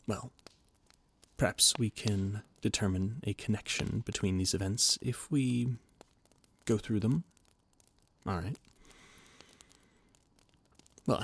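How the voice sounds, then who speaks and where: A young man playing an anxious, loyal royal advisor who stammers and speaks formally. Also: low-pitched. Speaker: voice actor in an audio roleplay. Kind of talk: scripted audio roleplay.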